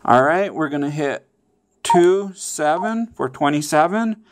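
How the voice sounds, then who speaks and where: A man with a fairly high, clean and smooth voice walks through instructions step by step. His delivery is casual and informal, and he keeps pausing to check in as he teaches. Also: lively intonation. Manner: repeatedly checks in with "okay" and "all right". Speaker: tutorial presenter.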